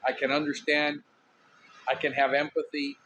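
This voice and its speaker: A man from Miami with a Cuban Miami accent, hosting a podcast in a casual monologue, loud, outspoken and candid, smoking a cigar as he talks. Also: fairly high pitch, level tone, normal speed, a little hiss or hum, poor audio. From Miami, USA. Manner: smoking a cigar while talking. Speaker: podcast host.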